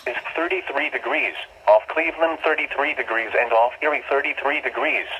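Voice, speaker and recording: A man's voice: fairly high pitch, sing-song, a little fast, vocal fry. A synthetic American male voice reading a scripted weather bulletin, played through a radio speaker. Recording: dull, noisy weather radio speaker playback.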